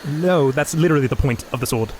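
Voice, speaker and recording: A man playing a shadowy, wry rogue in a fantasy audio drama, speaking in a measured, cryptic way. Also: mid-range voice, natural voice, low-quality recording. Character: shadowy male rogue, mysterious, wry dealer in blades. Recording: music bed under voice.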